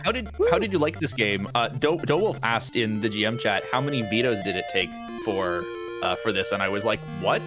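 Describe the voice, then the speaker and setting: A man's voice: on the low side, fairly quick, over music. A Canadian man hosting a casual chat, asking a player questions about a game. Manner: relaxed, asks questions, laughs easily.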